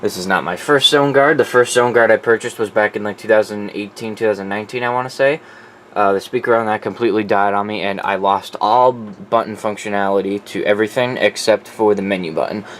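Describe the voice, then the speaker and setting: Low-voiced guy casually showing off gear. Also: fast talker, a bit bright, slight background noise. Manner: informal, profane. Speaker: collector presenting his weather radio collection. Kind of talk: casual off-the-cuff show-and-tell.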